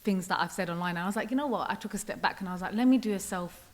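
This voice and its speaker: A young woman talking calmly and reflectively as a guest on a podcast. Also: mid-range voice, racing. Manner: calm, reflective, often quotes herself. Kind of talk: casual interview chat.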